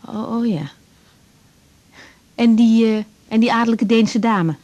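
Young lady doctor in a radio play, soft dark husky voice, careful and reserved. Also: on the high side, unhurried, dull audio. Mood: mildly teasing. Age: young adult. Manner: careful, tactful, a little hesitant. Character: young woman doctor, tactful, reserved, patient. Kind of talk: 1980s Dutch radio drama acting.

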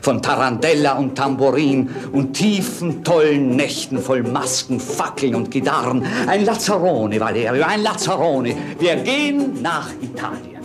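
An actor playing a romantic, idealistic young prince in a 1950s German radio play, declaiming poetically. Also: male voice, mid-range voice, very animated, a little slow, few pauses, music in the background. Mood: rapturous. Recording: noisy 1950s radio recording.

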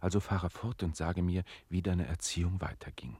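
Low, husky gentleman master. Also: normal speed, no echo. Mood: curious, dry. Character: inquisitive gentleman master, dryly reproving. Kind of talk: German radio drama acting.

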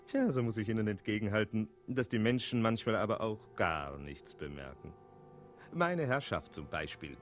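An actor voicing a sly, chatty tomcat who narrates a 1960s radio play in a playful, theatrical way. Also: male voice, fairly low pitch, lively intonation, normal speed, natural voice. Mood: confiding. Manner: playful, theatrical, digressive, punctuated by meows. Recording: 1960s radio studio recording with music.

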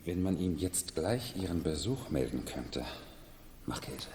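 An actor playing a brisk, deferential retainer in a 1970s German radio play, emphatic and formal. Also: male voice, very deep voice, animated, darkish tone. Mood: deferential, reassuring. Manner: emphatic, repeating himself, formal. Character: brisk, deferential male retainer organizing a costume masquerade. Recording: reverberant, noisy 1970s radio drama recording.

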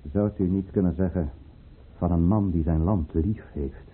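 An actor playing a ruthless, fervent count who leads a conspiracy, with declamatory, commanding delivery in a Dutch radio play. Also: male voice, sits low, moderate pace, breathy edge. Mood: persuasive. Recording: muffled, narrow-band old radio recording.

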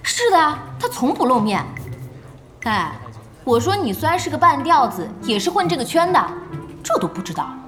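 A young woman playing a spirited, bossy gamer in an audio drama, brisk and mocking in tone. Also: sits high, sing-song, fairly bright tone, faint music behind. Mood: teasing. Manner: brisk, mocking, big-sisterly.

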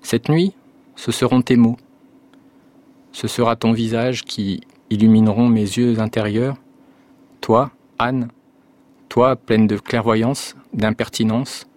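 A man reading an excerpt of his own novel aloud, in a measured and solemn way. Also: fairly low pitch, darkish tone.

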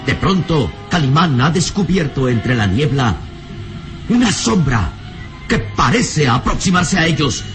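A man's voice: on the high side, sing-song, unhurried. A man playing the solemn, theatrical narrator of a suspenseful adventure radio serial. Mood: urgent suspense. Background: music.

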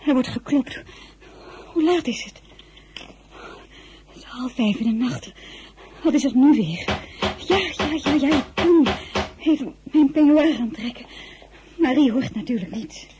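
A woman's voice: sits high, animated, a little slow, long pauses, warm tone, slight background noise. An actress playing an anxious, tender royal lady, a general's wife, in a Dutch audio drama. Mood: startled, flustered.

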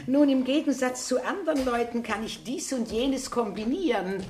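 A woman's voice: fairly high pitch, unhurried, close to an everyday voice. An actress playing a chatty, shrewd elderly village lady who plays amateur detective in a radio drama, with a coy, knowing manner. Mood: coyly knowing. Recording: roomy with faint noise.

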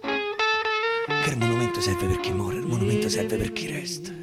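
A man's voice: on the high side, wildly swooping pitch, moderate pace. A man reciting dialect verse dramatically on radio, musing and repeating phrases for emphasis. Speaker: actor. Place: radio studio. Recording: guitar accompaniment throughout.

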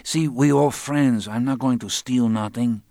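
A man's voice: mid-range voice, measured pace, creaky, dark tone, dry recording, studio quality, voice pushed up. A man reading aloud, voicing a wary, talkative young man with loose street-style phrasing. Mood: coaxing.

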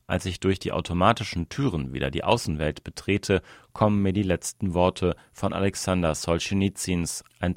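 Male article reader, deep register, scripted narration, very dry acoustic. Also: no echo. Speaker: reader of a translated magazine article. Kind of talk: scripted narration, read aloud.

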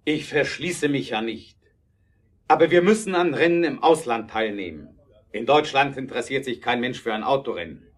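Man playing an earnest, mid-pitched determined inventor. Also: expressive, moderate pace, lightly acted. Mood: earnest, resolute. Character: earnest, determined male automobile inventor and businessman. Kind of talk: audio drama acting.

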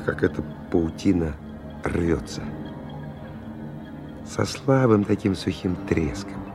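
A weary, rough stalker with a very deep, gravelly voice. He tells his story in short, intense, hushed phrases, slowly, like a man reliving danger in a radio drama. Music plays underneath. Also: heavily acted. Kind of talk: dramatic first-person radio play narration.

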